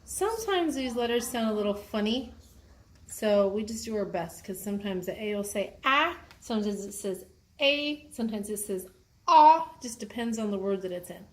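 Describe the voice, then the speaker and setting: A woman's voice: medium pitch, lively intonation, normal speed. A woman reading aloud with a child and teaching how to sound out words in an instructive way, stretching them as she reads. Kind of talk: read-aloud phonics lesson with casual chat. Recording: echoey room, slightly distant, faint background noise.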